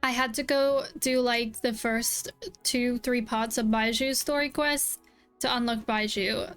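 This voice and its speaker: A woman's voice: on the high side, fairly even intonation, measured pace, fairly bright tone, smooth, soft background music. A woman streamer chatting casually and delightedly while reacting to a video game.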